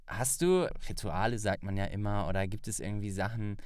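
Podcast host, male, low voice, relaxed slangy conversational delivery, dry recording. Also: brisk, clear, resonant tone, dry recording. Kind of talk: casual chat, slangy.

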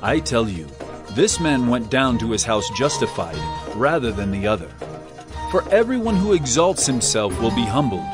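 A man's voice: mid-range voice, sing-song, moderate pace, lightly acted. An actor playing an earnest, solemn male teacher of parables in a dramatized audio Bible, speaking emphatically. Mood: solemn storytelling. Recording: loud background music.

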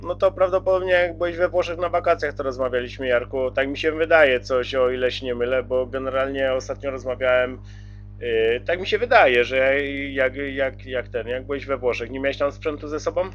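A man's voice: mid-range voice, moderate pace, fairly bright tone, slight background noise. A man chatting courteously and casually as a radio operator, using radio jargon. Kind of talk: casual radio contact chat. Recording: dull lo-fi CB radio audio.

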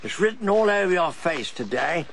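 A bluff older English political confidant in a radio play. The voice is gravelly and lively in pitch, blunt and teasing, over faint background ambience. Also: male voice, moderate pace, a little hiss or hum, full character voice. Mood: teasing, probing. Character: shrewd, bluff old golfing chum and political confidant. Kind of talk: radio drama acting.

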